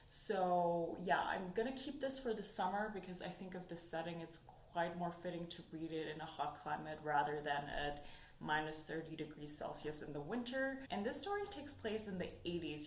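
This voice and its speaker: A young woman whose first language is German, chatting casually about books in a haul video and thinking aloud as she goes. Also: on the low side. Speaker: book vlogger. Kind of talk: casual book haul chat. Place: sunny room indoors. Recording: echoey room with faint noise.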